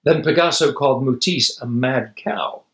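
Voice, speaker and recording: A man's voice: medium pitch, moderate pace, echoey room. A man reading a children's picture book aloud expressively, with dramatic emphasis and casual asides. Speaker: storybook reader.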